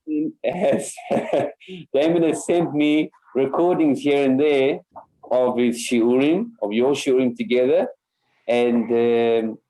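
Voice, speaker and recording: A man teaching a Torah class over a video call as a rabbi, speaking haltingly with many fillers and repeated words. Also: medium pitch, dark tone, poor audio.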